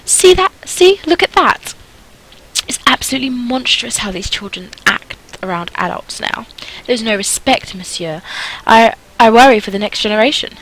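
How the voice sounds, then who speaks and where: Woman putting on snobbish, shrill, indignant society ladies. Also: animated, normal speed, a bit bright, poor audio. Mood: whining complaint. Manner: haughty, affronted, melodramatic.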